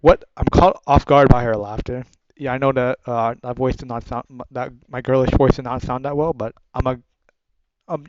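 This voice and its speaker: A man's voice: medium pitch, a little mellow, no room sound. A man casually reading a visual novel aloud, chatting and correcting himself as he goes. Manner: self-correcting, chatty asides. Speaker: gaming video creator. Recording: dull sound with guitar music.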